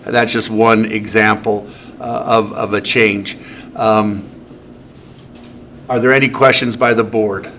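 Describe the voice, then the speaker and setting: A man presenting a request to a school board, speaking haltingly with many ums and restarts. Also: lowish voice, flat delivery, a bit bright, a little hiss or hum. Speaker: district sex education coordinator. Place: school board meeting room.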